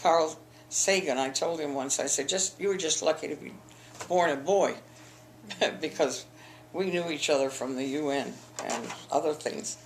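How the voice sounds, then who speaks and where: A woman's voice: low-pitched, unhurried, slight background noise, poor audio. An older woman from the eastern United States, telling her school memories in an oral history interview, rambling with frequent restarts. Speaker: interviewee, alumna.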